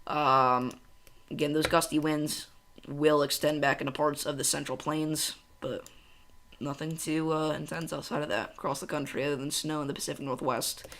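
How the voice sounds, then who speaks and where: A young man from central Illinois hosts a casual weather livestream, chatting at home and reading chat aloud. His voice is bright and forward, pitched a little high, and he talks fast and excitedly, drifting into tangents.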